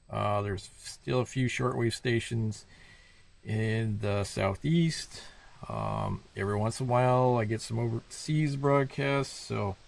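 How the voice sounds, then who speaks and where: Male reviewer, gravelly fry, average pitch, informal and rambling demo delivery. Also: darkish tone, dry recording. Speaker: product reviewer. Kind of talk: casual hands-on radio review.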